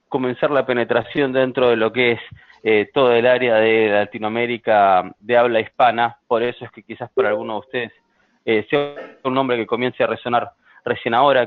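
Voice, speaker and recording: Hombre argentino en línea telefónica. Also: male voice, mid-range voice, no echo. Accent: Argentine Spanish. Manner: measured, with frequent hesitations. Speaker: sales engineer presenting a product. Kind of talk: webinar presentation. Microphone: computer mic over an online call. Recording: tinny phone-like line, dry.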